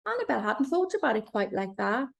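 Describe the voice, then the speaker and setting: A woman's voice: fairly high pitch, very animated, quick pace, vocal fry. Woman reading a children's storybook expressively. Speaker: author reading own children's book. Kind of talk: storybook narration for young children.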